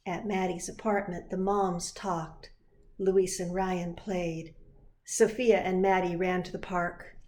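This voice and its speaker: A woman pastor reading a children's picture book aloud in an expressive storytelling style. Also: lowish voice, slow pace.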